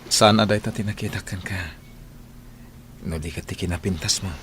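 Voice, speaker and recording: Deep-voiced lovestruck young gentleman. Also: steady tone, moderate pace, a little hiss or hum. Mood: tender, wooing. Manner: tender, wooing. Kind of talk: Ilocano radio drama acting.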